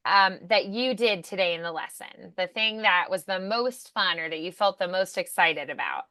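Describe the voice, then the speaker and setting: A woman's voice: on the low side, moderate pace, bright, forceful tone, no echo, studio quality. A woman speaking as an encouraging voice acting coach in a one-on-one lesson.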